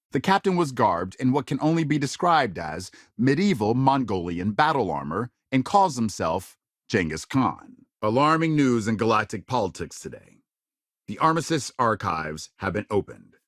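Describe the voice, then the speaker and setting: A man playing a formal, authoritative news anchor in a science fiction game, delivering scripted reports with measured, dramatic emphasis. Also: mid-range voice, no echo, clean studio sound. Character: polished, authoritative male news anchor of a spacefaring future. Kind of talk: scripted news broadcast narration.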